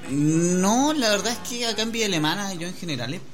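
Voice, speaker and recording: A man's voice: sits high, lively intonation, fairly quick. Chilean man chatting casually over music. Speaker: interview guest. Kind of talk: casual chat.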